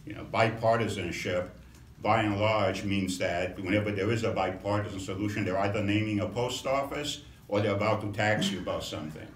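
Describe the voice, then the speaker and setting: A middle-aged man from Romania, a congressional candidate, giving a measured campaign introduction with frequent pauses and fillers. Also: fairly low pitch, fairly even intonation, a little hiss or hum. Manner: measured, halting, many fillers. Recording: echoey room, mic at a distance.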